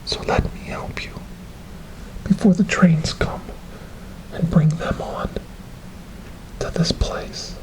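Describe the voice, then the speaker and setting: Slow whispering, eerie asylum helper acted by a man. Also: a little high, fairly even intonation, low-quality recording. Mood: soothing, eerie. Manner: slow, repetitive, hushed coaxing. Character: soothing, eerie male asylum helper coaxing a tormented creature. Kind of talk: whispered ASMR horror roleplay storytelling.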